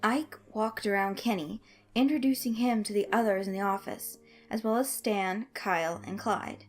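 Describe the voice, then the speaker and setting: A woman reading a fan-written story aloud as narrator, in expressive scripted narration. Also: mid-range voice, normal speed. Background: music under the voice.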